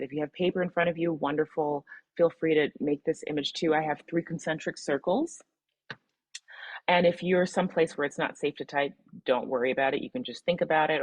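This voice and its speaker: Woman, from the Midwest, webinar presenter, low husky voice, encouraging guide, dry close sound. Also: no room sound. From Midwestern US, Michigan. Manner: encouraging, leads participants through reflection exercises. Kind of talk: interactive online workshop.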